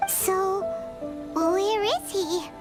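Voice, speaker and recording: Tiny squeaky excitable game companion. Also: female voice, very slow, exaggerated voice. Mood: eager. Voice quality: squeaky, childlike. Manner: exclamatory, blunt questioning. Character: small childlike floating companion, excitable and indignant. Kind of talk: video game voice acting. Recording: loud game music behind.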